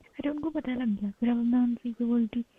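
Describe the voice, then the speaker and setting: A young woman in a casual private phone chat, soft-spoken and sometimes close to a whisper, with a husky voice. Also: on the high side, moderate pace. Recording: narrow tinny phone call recording.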